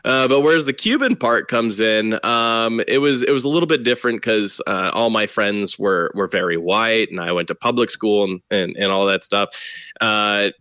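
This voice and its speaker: A stand-up comedian from Texas chatting in an interview, telling rambling self-deprecating stories with lots of fillers and laughter. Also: male voice, lowish voice, expressive, moderate pace, bright, forceful tone, dry recording, studio quality. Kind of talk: casual interview chat.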